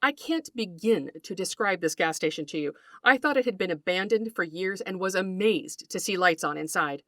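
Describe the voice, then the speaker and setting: A middle-aged woman hosting a podcast, reading a scripted narration in an articulate, deliberate storytelling way and speaking directly to her listeners. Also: lowish voice, lively intonation, fairly bright tone, dry recording, clean studio sound. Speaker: podcast host, writer and folklorist.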